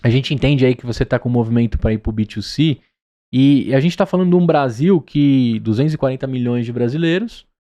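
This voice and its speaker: A man's voice: mid-range voice, a little fast, mellow. A man from Brazil with a São Paulo accent, a podcast host asking long chatty questions, often ending with a tag question. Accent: São Paulo Portuguese. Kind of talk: conversational interview.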